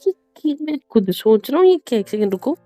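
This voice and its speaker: A man's voice: very high voice, sing-song, fairly quick, warm tone, soft background music. A man in the role of a husband, arguing casually at home, protesting and often repeating his words. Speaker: husband in a prank video. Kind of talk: casual domestic argument.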